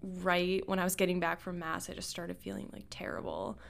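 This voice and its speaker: Chatty young woman, lower voice. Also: level tone, brisk, creaky. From Nebraska, USA. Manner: chatty, laughs often, lots of "like". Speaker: podcast co-host. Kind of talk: casual chat.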